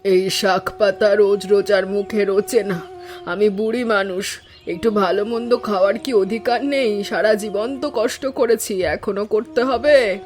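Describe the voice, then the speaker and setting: A woman's voice: mid-range voice, fairly even intonation, measured pace, darkish tone, close to an everyday voice. A woman voicing a querulous, suspicious old village mother-in-law in a cartoon story, complaining as she talks. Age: older. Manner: complaining, grumbling. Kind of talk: Bengali cartoon story dialogue.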